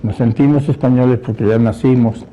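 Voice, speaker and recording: Halting older man, dull recording. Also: medium pitch, steady tone, warm tone. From born in Spain, grew up in Mexico. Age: 70s. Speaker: interviewee. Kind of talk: reflective personal testimony. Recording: dull lo-fi sound, faint music.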